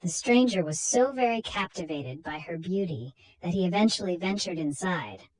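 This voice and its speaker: Husky, low-voiced woman narrating horror stories. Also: expressive, moderate pace, rough recording. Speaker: narrator and host. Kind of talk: dramatic reading of a horror comic story.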